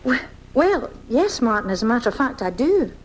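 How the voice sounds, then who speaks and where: A woman's voice: fairly high pitch, very animated, normal speed. A woman playing a kind, encouraging young schoolteacher in a 1980s live radio drama, recorded off the air at home. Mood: warm, tender.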